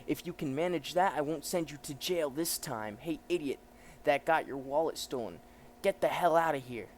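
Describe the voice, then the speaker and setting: A man's voice: on the high side, moderate pace, a little hiss or hum, voice pushed up, lightly acted. A man voicing a bullying, corrupt 1930s New York policeman from a comic, sneering and threatening in slang. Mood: sneering, extorting.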